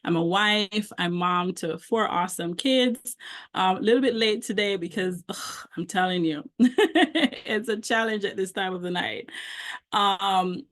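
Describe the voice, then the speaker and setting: A woman's voice: mid-range voice, unhurried, fairly bright tone. A middle-aged woman with a Jamaican accent, a book coach giving a conversational presentation with frequent ums. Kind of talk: online presentation.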